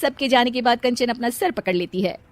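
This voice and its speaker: A woman's voice: on the high side, fairly quick, dry recording. A woman narrator reading a scripted moral story for a cartoon.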